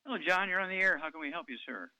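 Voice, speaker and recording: A man's voice: sits high, expressive, rapid-fire, bright, forceful tone, smooth, dry recording, rough recording. A man in his eighties hosting a call-in radio show, talking in a rambling way with many ums and uhs. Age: 80s.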